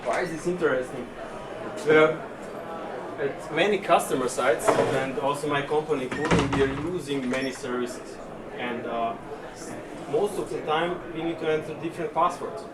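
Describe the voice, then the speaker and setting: A man delivers a conference tech talk in an easy, conversational way, often stopping on an "uh" as he goes. His voice sits at a middling pitch and is picked up from a distance, so it sounds a little far off. A roomy echo and steady background noise fill the space around him. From Serbia. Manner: relaxed and chatty, pausing often on fillers. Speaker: conference speaker. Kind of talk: technical presentation. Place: conference session room. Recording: echoey room, distant mic, room noise.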